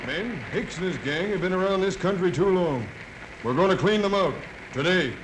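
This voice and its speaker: An actor playing a commanding sheriff in 1930s radio Western style, urgent and folksy, on a noisy old soundtrack. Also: male voice, high voice, expressive, normal speed. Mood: resolute, rallying. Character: commanding Western sheriff rallying his posse.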